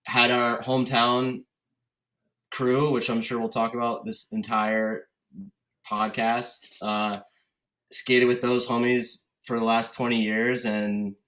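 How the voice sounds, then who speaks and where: A man's voice: mid-range voice, little pitch movement, slow, a bit bright. Laid-back guest man in a roomy space. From Connecticut. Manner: laid-back, rambling with many fillers. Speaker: podcast guest. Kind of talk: casual conversation. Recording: roomy echo.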